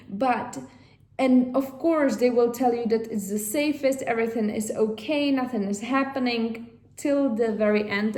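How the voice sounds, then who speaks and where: A woman from Czechia with a Czech accent, chatting casually to viewers as a vlog host in a rambling, halting way. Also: a little high, a little mellow. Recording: echoey room.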